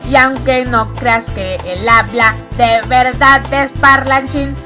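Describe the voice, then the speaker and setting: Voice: high voice, steady tone, slow. A woman singing a playful parody song in Spanish. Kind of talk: sung parody of a cartoon song. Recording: music behind the voice.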